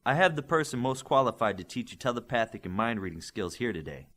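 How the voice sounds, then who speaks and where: Businesslike coach read by a male narrator, authoritative, middle-pitched, animated delivery. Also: fast. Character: brisk, businesslike male combat team coach.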